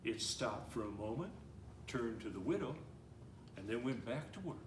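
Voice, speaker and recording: A man reading a picture book aloud in a gentle, animated storytelling manner, slowing down and speeding up to shape the tale. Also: mid-range voice. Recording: roomy, somewhat distant mic with faint noise.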